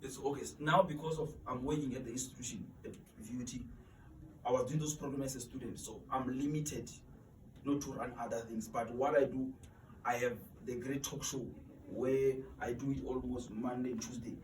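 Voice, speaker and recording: A man's voice: mid-range voice, steady tone, moderate pace. A man in his twenties, an interview guest, talking earnestly about mentoring students and often repeating words while he searches for them. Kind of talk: motivational interview talk. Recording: echoey room, distant mic, faint noise.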